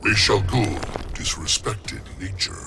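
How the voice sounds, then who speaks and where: A man voicing a hulking, ancient plant monster in a fighting game, a solemn guardian of nature who speaks gravely and imperiously in a rumbling, gravelly voice. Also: fairly even intonation, measured pace. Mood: stern, menacing. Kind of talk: fighting game character dialogue. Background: loud music.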